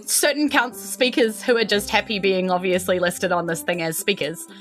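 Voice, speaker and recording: A woman's voice: mid-range voice, normal speed. A woman with a New Zealand accent, improvising casual, descriptive narration as game master in a tabletop game, feeling her way through the scene. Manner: informal, hesitant, descriptive. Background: background music.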